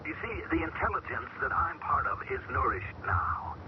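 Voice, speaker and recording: An actor playing a man taken over by an alien mind, who talks calmly and measures his words in an old radio drama. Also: mid-range voice. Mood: eerie. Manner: calm, measured, searching for words. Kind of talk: 1970s radio drama acting. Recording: dull old radio broadcast with loud hiss.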